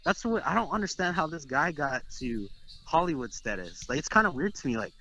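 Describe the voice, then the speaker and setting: A man's voice: on the high side, big pitch swings, a little fast, bright, forceful tone, a little hiss or hum, poor audio. A young man from Texas talking trash in a casual interview, boastful and rambling with lots of "like"s. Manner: brash, boastful, rambling with many "like"s. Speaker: interviewed competitive fighting game player.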